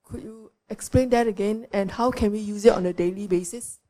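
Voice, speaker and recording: A woman attending a retreat, asking a question in a soft, hesitant, halting way. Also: medium pitch.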